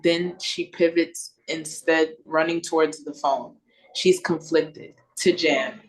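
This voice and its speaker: A woman's voice: low-pitched, steady tone, takes it slowly, low-quality recording. A woman reading stage directions as narrator in a scripted read-through over a video call.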